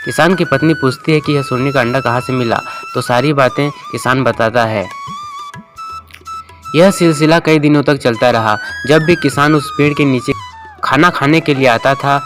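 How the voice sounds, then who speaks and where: Casting: male storyteller for cartoon moral tales, mid-pitched, scripted narration over music. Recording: music bed under the voice.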